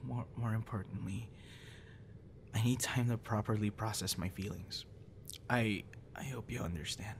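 A man playing a withdrawn, tender young man in an intimate boyfriend roleplay, speaking softly and haltingly. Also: fairly low pitch, a little hiss or hum, lightly acted. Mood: tender, subdued. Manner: hesitant, halting, soft-spoken. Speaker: audio roleplay performer.

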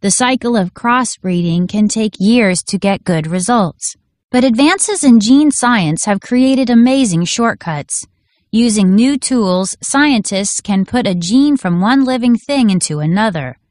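A woman narrating a scripted educational text in a clearly enunciated, instructional manner. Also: on the high side, animated, unhurried, darkish tone, no echo, studio quality. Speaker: audiobook narrator. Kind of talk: scripted educational read-aloud.

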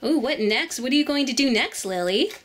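A woman's voice: high voice, animated, fairly quick. A woman voicing a kindly ice queen who runs a beauty salon, effusive and encouraging in doll play for children. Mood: gushing delight.

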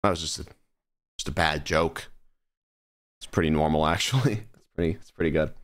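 A man's voice: a bass voice, fairly quick. A young man streaming a horror game, giggling and making wry comments back at the screen.

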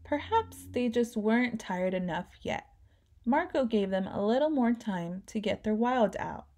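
Female storyteller for a kids' read-aloud; gentle narration, lively character voices, mellow tone. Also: fairly high pitch, unhurried. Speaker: children's book reader.

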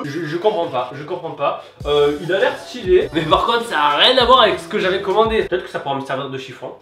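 An upbeat young man with a high voice and a stuffy cold, hosting an unboxing vlog and talking straight to his viewers. He is recorded from a few steps away in a small, echoey kitchen. Music plays clearly underneath. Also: a bit bright. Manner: hyped, joking, talks straight to viewers. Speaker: YouTube host. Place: small bright home kitchen. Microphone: camera mic, standing a few steps back. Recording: echoey room with background music.

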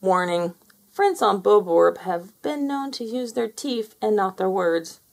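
A woman's voice: medium pitch, animated, unhurried, vocal fry. A woman reading a children's picture book aloud as a storyteller.

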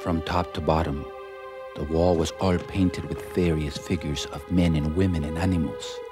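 A deep-voiced old Jesuit missionary. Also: male voice, steady tone, moderate pace. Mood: solemn. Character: 18th-century male Jesuit missionary recounting a discovery. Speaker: voice actor reading a historical account. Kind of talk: 1970s documentary reading. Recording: voice over loud background music.

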